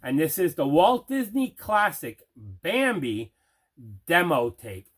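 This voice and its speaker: A man with a slightly high voice hosts an unboxing vlog for collectors. He talks casually and leans on repetition to drive his points home. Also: huge pitch swings, takes it slowly, a bit bright, slightly rough. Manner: repetitive, emphatic phrasing. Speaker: collector and video channel host.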